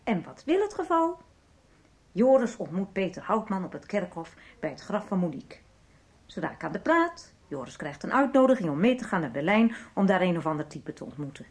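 A woman's voice: mid-range voice, big pitch swings, brisk, darkish tone, close to an everyday voice. An actress in a 1990s radio soap playing a menacing, scornful woman who taunts and commands as she presses a man for information. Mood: taunting.

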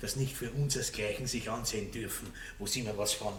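An actor playing a condescending aristocrat in a radio play, muttering confidentially with haughty airs. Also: male voice, fairly low pitch, steady tone, a little fast, fairly bright tone, slight background noise, natural voice. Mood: condescending aside. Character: condescending, pompous aristocratic male baron. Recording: roomy, distant old radio recording.

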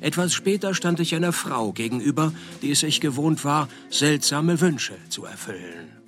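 A laconic, sardonic private eye in a radio drama. His voice sits a little high for a man, the delivery is deadpan and wry, and soft music runs behind it. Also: normal speed. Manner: deadpan, dry wit. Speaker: narrator and lead. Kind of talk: radio play narration and dialogue.

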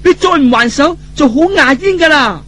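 Man putting on an anxious, shrill young Cantonese woman. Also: expressive, normal speed, pushed and bright, heavily acted. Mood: alarmed, urgent. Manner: urgent, pleading.

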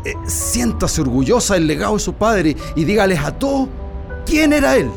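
A respectful, hesitant Chilean attendant in a radio play, acted by a man. He has a gravelly, creaky voice with a very animated pitch. His Chilean-accented delivery is kindly and deferential, over music. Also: exaggerated voice. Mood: gentle, encouraging. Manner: halting, deferential. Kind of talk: radio drama acting.

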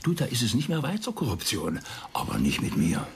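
An actor playing a wily, brusque senior police chief in a radio crime drama, with a sardonic way of talking. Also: male voice, medium pitch, huge pitch swings, a little fast, creaky, a little hiss or hum. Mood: mocking.

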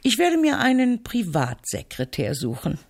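An actress playing a headstrong, sardonic middle-aged crime novelist in a radio play, assertive, dry and teasing. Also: female voice, fairly high pitch, huge pitch swings, normal speed, vocal fry, exaggerated voice. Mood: imperious, sardonic. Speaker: radio drama actor. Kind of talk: German radio play dialogue.

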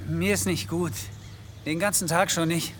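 An actor playing a sick, desperate garage owner in a radio drama, halting and weary. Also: male voice, fairly high pitch, fairly even intonation, slight background noise, close to an everyday voice. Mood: drained, sick.

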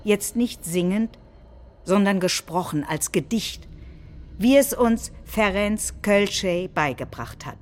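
A woman's voice: medium pitch, expressive, slow pace. A woman playing a combative nationalist head of government, delivering a political speech in a staged reading, solemn and declamatory.

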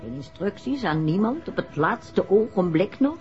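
An actress playing a fussy, complaining wife in a 1960s radio drama, petulant and pointed, with a Netherlands Dutch accent. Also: female voice, mid-range voice, big pitch swings, moderate pace, darkish tone, faint music behind, close to an everyday voice. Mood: irritated. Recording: muffled, noisy 1960s radio recording.

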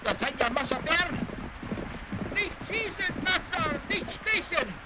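Loyal servant, very high swooping voice, broken pidgin, excitable, 1970s western audio drama, noisy vinyl. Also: male voice, takes it slowly, full character voice. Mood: alarmed, pleading. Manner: exclaiming, speaks broken pidgin of his master's language. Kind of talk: 1970s children's radio play acting. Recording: noisy vinyl rip of radio play.

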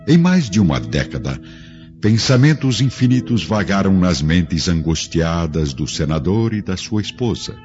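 A very deep-voiced man narrates a radio drama with grave, theatrical formality. His intonation moves widely, and the slightly muffled recording carries clear music behind him. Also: very animated, normal speed, darkish tone. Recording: dull band-limited audio with music behind.

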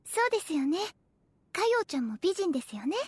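A modest young wife in an audio drama, with a high, girlish voice. She speaks politely and hesitantly, innocent and sweet. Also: very animated, quick pace, no room sound. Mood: modest, earnest. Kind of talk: Japanese audio drama acting.

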